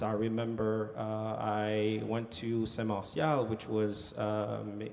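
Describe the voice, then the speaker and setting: A man from Haiti speaking on a conference panel as an economic development director, telling his story with many fillers. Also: lowish voice. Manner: animated storytelling with frequent fillers. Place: conference hall.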